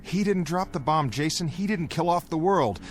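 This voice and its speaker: An actor playing a grave guardian who pleads and moralizes in a measured, earnest 1960s television drama manner. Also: male voice, a little high, animated, a little hiss or hum, lightly acted. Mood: pleading. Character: grave, pleading male guardian of a forbidden cave. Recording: old television soundtrack with loud music.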